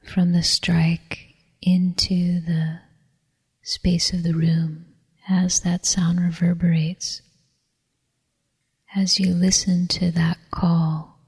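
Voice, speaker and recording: A woman leading a guided meditation in a soft, whispery, breathy voice, calm and soothing, with long unhurried pauses. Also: on the low side. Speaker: meditation teacher. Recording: long reverberant hall echo.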